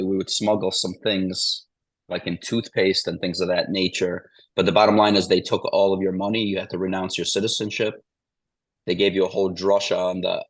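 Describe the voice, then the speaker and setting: Forties male guest from Ukraine; deep, even delivery with little movement, meandering with restarts. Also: dry recording, poor audio. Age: 40s. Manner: meandering, restarts, repeats "the bottom line is". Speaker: interview guest. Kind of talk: casual interview storytelling.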